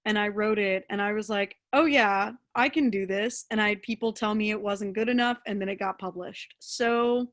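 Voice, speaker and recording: A young woman playwright talking casually and candidly about her writing. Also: medium pitch. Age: young adult. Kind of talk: casual, candid feedback talk.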